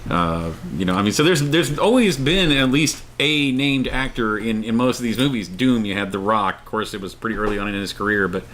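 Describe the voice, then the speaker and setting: A man's voice: on the low side, fairly quick, few pauses, fairly bright tone. Man chatting casually over noticeable background noise. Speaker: co-host of a pop culture discussion show. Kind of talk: casual opinionated chat.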